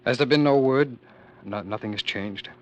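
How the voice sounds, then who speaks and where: An actor in a vintage radio drama, playing a haunted man who once ruled the world, who narrates his dream with earnest, halting intensity. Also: mid-range voice, close to an everyday voice. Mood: tender. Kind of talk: 1940s radio acting. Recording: dull old radio broadcast.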